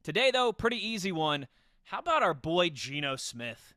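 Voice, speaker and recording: A man hosts a sports podcast with a crisp, clean voice. His delivery is conversational and enthusiastic, and the sound is very dry, with no room around it. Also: high-pitched, very animated, normal speed, sharp tone, dry recording. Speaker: podcast host.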